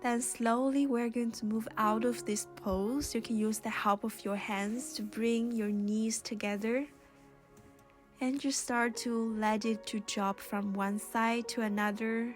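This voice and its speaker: Slow, even-toned woman guiding yoga over music. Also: fairly high pitch. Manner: soothing, gentle, unhurried guiding with pauses. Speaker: yoga instructor. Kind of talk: guided yoga and meditation instruction.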